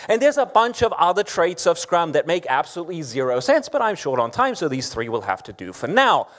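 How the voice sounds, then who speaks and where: Guy with a pretty high voice doing a lightning talk, wry and opinionated. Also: very animated, a little fast, a bit bright. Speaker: conference speaker. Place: conference hall.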